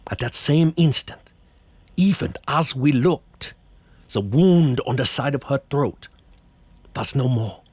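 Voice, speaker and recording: An actor playing a grave, commanding vampire hunter in a horror radio drama, husky, deliberate and theatrical. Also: male voice, mid-range voice, lively intonation, a little mellow, no echo. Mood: solemn, reflective.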